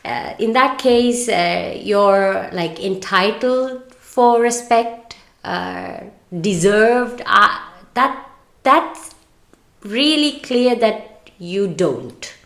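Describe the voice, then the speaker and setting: A woman speaks as a podcast co-host, at a middling pitch. Her delivery is informal and halting, often tripping and starting again. The room around her rings with noticeable echo. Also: animated, vocal fry, fairly bright tone. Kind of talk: reading a story aloud and casual discussion. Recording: echoey room.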